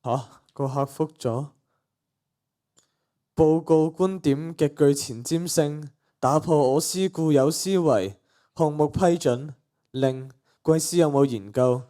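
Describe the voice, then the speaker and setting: A man's voice: a little high, slow, dark tone. A teenage boy with a Cantonese accent playing an exasperated, principled young content creator in a radio play, with a sighing, grumbling manner. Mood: stunned. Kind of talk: student radio play acting.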